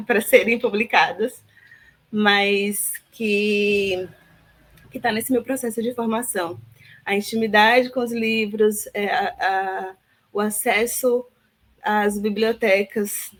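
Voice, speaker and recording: Woman guest, talks real slow and thoughtful, lots of pauses and little tag questions. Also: mid-range voice. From Salvador, Bahia, Brazil. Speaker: guest, philosophy teacher. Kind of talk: reflective interview answer.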